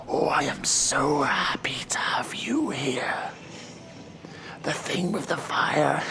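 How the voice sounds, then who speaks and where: A man voicing an ancient, weary demon judge of hell in a theatrical, breathy whisper for an ASMR role-play. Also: fairly high pitch, bright, forceful tone, full character voice. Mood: delighted, proud. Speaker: performer of a horror role-play.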